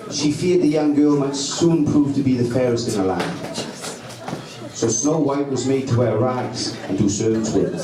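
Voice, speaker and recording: Man narrating pantomime over a loud crowd. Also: mid-range voice. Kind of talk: scripted storytelling narration on stage. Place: club room during live pantomime show. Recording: roomy hall, distant mic, loud audience. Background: loud audience crowd.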